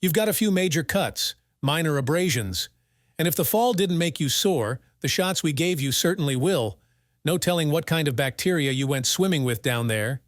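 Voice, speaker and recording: A man's voice: on the high side, animated, normal speed, no room sound, natural voice. A man playing a level-headed, sardonic soldier medic with a blunt, dry manner in an audio comic. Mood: calm, dry. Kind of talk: dramatized audio comic dub.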